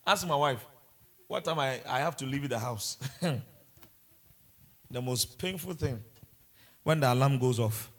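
A man's voice: medium pitch, unhurried, pauses often. A man from Ghana with a Ghanaian accent, preaching a sermon and mixing in humorous asides. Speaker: pastor.

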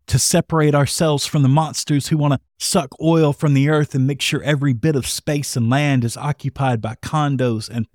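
A man with a mid-pitched voice speaks as a podcast host in a close, dry recording. He delivers a scripted address with earnest, emphatic conviction and a rhetorical bent. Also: a little mellow, no room sound.

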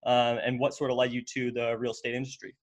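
A man's voice: medium pitch, monotone, quick pace. A man hosting a business podcast, talking loosely with lots of "uh" and "you know" as he introduces a guest. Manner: loose, filler-heavy, with frequent "uh" and "you know". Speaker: podcast host. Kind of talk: casual podcast interview.

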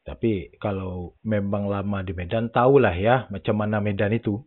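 A man's voice: lowish voice, fairly even intonation, normal speed. A man hosting a podcast in casual chat, listening closely and dropping in frequent short affirmations. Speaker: podcast host. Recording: tinny phone line.

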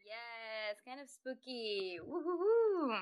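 A woman's voice: fairly high pitch, very animated, takes it slowly, a crowd in the background. A woman co-hosting a casual podcast in a playful manner, tossing in little vocal interjections. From US-Mexico border region. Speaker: podcast co-host.

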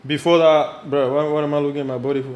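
A man's voice: fairly high pitch, level tone, a little mellow, lo-fi sound. A man in his twenties from Zimbabwe, a podcast guest who chats casually and throws in jokes. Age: 20s. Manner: jokey, quick interjections. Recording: roomy with faint noise.

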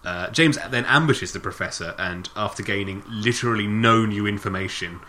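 Male co-host, bright low voice, wry and profane in casual review chat, faint background hiss. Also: normal speed. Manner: sarcastic, swears, laughs often while recapping. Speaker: podcast co-host.

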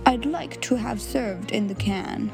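A woman voicing a pompous male goat in a light rhyme, with a lofty, drawn-out delivery. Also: fairly high pitch, vocal fry, over loud music.

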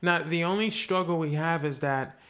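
A man's voice: a little high, normal speed, a bit bright. A young man from Haiti, a podcast guest, telling a story earnestly in casual conversation and swearing freely.

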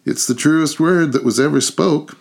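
A man's voice: fairly high pitch, moderate pace, creaky, voice pushed up. A man voicing Mrs Dilber, an eager laundress who chimes in agreeably, in a storybook reading. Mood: agreeable. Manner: agreeable, chiming in.

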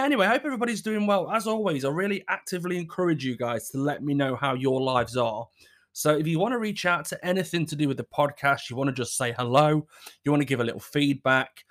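A man's voice: fairly high pitch, fairly bright tone. A man in his thirties from England hosting his own podcast, talking casually in a rambling, apologetic way with a scratchy, sore throat. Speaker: podcast host. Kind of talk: casual solo podcast chat.

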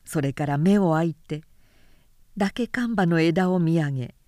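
A woman reading a literary story aloud as narrator, in measured scripted storytelling. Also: lowish voice, dark tone, no echo, studio quality.

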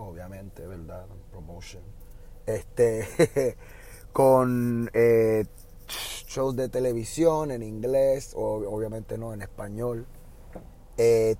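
A man with a Puerto Rican Spanish accent, a high school Spanish teacher giving casual advice on his podcast, often tagging on "¿verdad?" and pausing with "eh". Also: slight background noise. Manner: relaxed, fills with "¿verdad?" and "eh".

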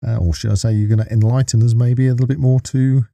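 Very dark-voiced man hosting a podcast. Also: medium pitch, fairly even intonation, quick pace, vocal fry, no echo. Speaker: podcast host, interviewer. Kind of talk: casual interview chat. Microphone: close studio microphone.

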